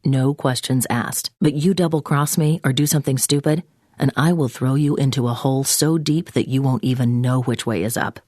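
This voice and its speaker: An actress playing a stern, controlling government agent who gives clipped, no-nonsense orders as a mission handler in a video game. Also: female voice, a bass voice, fairly even intonation, moderate pace, warm tone, dry recording, clean studio sound. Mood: threatening.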